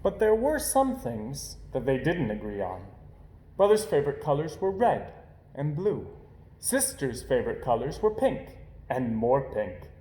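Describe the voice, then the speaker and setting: A man reading a children's picture book aloud with animated, dramatic emphasis. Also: medium pitch, a little slow, echoey room, poor audio. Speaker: storybook reader.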